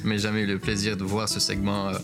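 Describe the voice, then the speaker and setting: Relaxed man hosting a radio chat. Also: lowish voice, fast, creaky, music in the background. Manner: relaxed questioning, mixes in English. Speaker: radio host. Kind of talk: casual interview chat.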